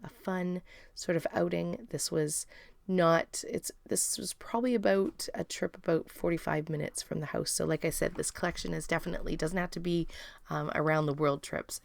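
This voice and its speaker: Raspy-voiced woman narrating a craft video. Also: on the low side, no echo. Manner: relaxed, chatty, many ums and uhs. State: raspy, strained voice. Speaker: scrapbooking video host.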